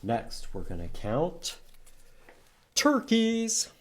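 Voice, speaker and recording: Guy doing kids storytime, super expressive up and down voice, takes it slow, gets listeners joining in. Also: high-pitched, a crowd in the background. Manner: expressive, animated, asks listeners to join in. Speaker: children's storytime reader at a library. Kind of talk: read-aloud storytime with singing and prompts to children.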